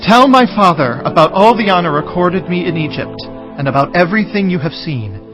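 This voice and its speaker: An actor playing a powerful, forgiving Egyptian governor, overcome with emotion and declaiming with passion in a dramatized scripture recording. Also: male voice, high voice, expressive, a little fast, over music, poor audio. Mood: earnest, exultant. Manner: impassioned, declamatory. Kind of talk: dramatized audio scripture acting.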